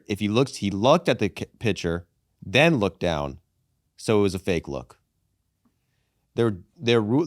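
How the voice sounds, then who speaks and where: Lively, profane podcast host. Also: male voice, fairly low pitch, no room sound, studio quality. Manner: animated, profane, says "brother" and "dude". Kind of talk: casual sports chat.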